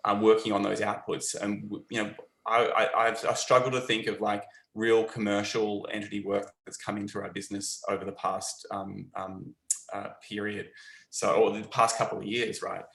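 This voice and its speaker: A man's voice: on the low side, flat delivery, moderate pace, gravelly, a bit bright. A man with an Australian accent leading a design studio, speaking in a hesitant panel chat with repeated words. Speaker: agency leader, panelist. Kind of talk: conversational panel talk. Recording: echoey room.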